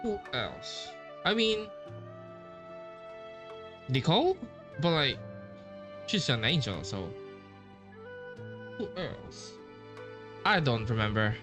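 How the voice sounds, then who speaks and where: Streamer musing over a game, slow n unsure, very animated pitch, faint music. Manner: hesitant, musing aloud. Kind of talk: casual playthrough commentary.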